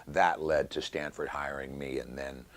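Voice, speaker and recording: Very deep-voiced American man in his sixties. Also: level tone, moderate pace. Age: 60s. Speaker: stadium announcer and voiceover artist. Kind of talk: reflective interview talk.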